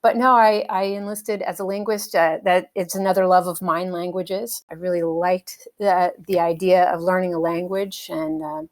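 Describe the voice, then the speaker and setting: A middle-aged woman with a slightly low voice talks as an interview guest. She answers in a relaxed, thoughtful way, filling pauses with little hesitations and easy laughs. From United States. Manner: thoughtful, with frequent fillers and light laughs. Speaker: interview guest, helicopter pilot. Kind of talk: casual conversational interview.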